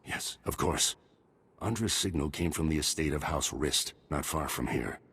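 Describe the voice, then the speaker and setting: Gruff, very deep, husky army major. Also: male voice, moderate pace, fairly bright tone, full character voice. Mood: grave, pleading. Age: middle-aged. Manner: gruff, commanding military delivery. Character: stern, worried middle-aged male army major and father. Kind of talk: video game voice acting.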